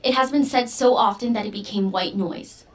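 An actress playing an earnest, defiant young daughter in an audio drama, speaking with intense, deliberate, poetic phrasing. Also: female voice, medium pitch, close to an everyday voice. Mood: solemn, resolute. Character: earnest, defiant teenage daughter preparing for ritual role. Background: music under the voice.

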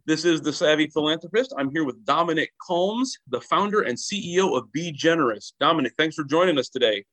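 Brisk podcast host, man. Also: a little high, lively intonation, fairly bright tone. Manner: brisk scripted intro, then friendly interjections. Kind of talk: podcast interview.

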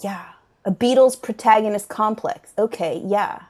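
A woman playing an unimpressed slacker student of magic, griping in halting, slangy speech in an improvised comedy sketch. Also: medium pitch, darkish tone, close to an everyday voice. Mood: sardonic, griping.

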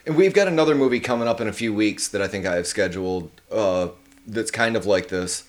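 A man's voice: on the low side, brisk. Profane, rambling male podcast host. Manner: rambling, profane, enthusiastic, with hesitant fillers. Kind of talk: casual podcast chat.

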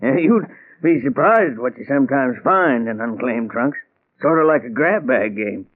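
An actor playing a chatty, good-natured delivery man with an American accent, casual and unhurried, in 1940s radio drama. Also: medium pitch, lively intonation, lightly acted. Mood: amiable, chatty. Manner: casual, chuckling, hesitant drawn-out phrases. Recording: tinny narrow-band old radio recording.

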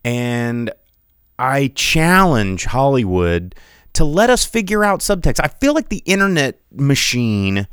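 A man's voice: mid-range voice, takes it slowly, clean tone, dry recording, clean studio sound. A man hosting a podcast, ranting casually about movies with lots of restarts and stumbles. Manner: rambling, animated rants with many restarts. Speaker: podcast host. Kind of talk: casual solo movie commentary.

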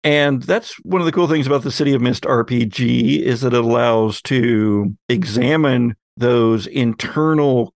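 A man's voice: medium pitch, measured pace, gravelly, hardly any pauses, dry recording, clean studio sound. A man hosting a podcast in a casual, conversational discussion style. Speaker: podcast host.

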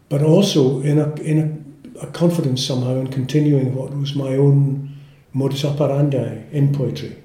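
A Scottish man in his eighties, a poet speaking and reading in a measured, formal way with careful pauses. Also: mid-range voice, warm tone. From Scotland. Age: 80s. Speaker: poet, interview guest. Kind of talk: literary interview with poetry reading. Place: indoor room with some echo. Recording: roomy, echoing indoor sound.

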